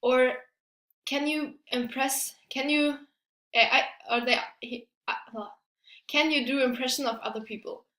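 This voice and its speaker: Girl student doing a class presentation, kinda high voice, trips over words, echoey room. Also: steady tone, bright, forceful tone. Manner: halting, stumbling over words. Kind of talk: prepared academic presentation.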